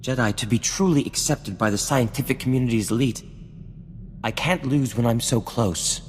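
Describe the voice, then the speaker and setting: An actor playing a vain, refined scientist-inventor in a video game cutscene, speaking in a formal, theatrical and florid way. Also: male voice, mid-range voice, moderate pace, a little hiss or hum, lightly acted. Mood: anxious. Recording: long reverb, music underneath.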